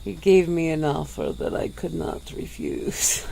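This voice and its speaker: A woman voicing a centuries-old female vampire, calm and regretful, speaking slowly and formally in an ASMR roleplay. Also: low-pitched, creaky, a little hiss or hum, exaggerated voice, poor audio. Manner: slow, measured, formal speech.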